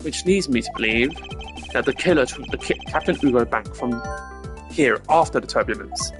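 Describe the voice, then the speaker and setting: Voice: mid-range voice, lively intonation, moderate pace, over music, natural voice. A streamer voicing a stern, proper male prosecutor from a video game, speaking formally and precisely with easy exasperation. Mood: deductive, formal. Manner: formal, precise, clipped and haughty.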